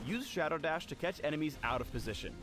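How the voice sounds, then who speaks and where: A man's voice: fairly high pitch, animated, fast. Playful male game-guide narrator over music. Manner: playful, slangy instruction. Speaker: tutorial narrator. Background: clear background music.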